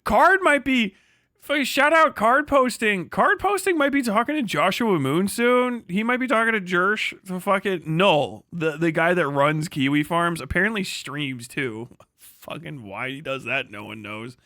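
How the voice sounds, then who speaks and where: A man's voice: sits high, animated, a little slow, bright, forceful tone, no room sound, clean studio sound. A man livestreaming a casual, rambling rant, full of profanity and slang, often stammering over repeated words. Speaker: live streamer, online commentator.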